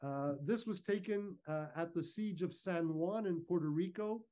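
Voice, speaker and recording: A man giving an informal, measured, explanatory slide talk over a video call. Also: sits high, mellow. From Canada. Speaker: guest speaker, historical reenactor. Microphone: video call microphone.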